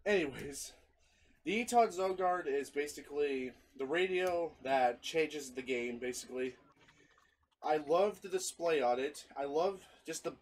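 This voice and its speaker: A man's voice: a little high, slight background noise. A young man casually reviewing his gadgets with friends, giggly and easily breaking into laughter. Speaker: video host, hobby radio collector.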